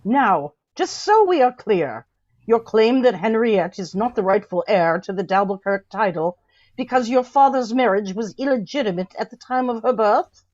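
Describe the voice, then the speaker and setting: A woman's voice: medium pitch, expressive, moderate pace, darkish tone, lo-fi sound, natural voice. A woman playing a formal, authoritative official questioning a witness at an inquest in a radio drama. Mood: probing. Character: formal, probing official presiding over an inquest.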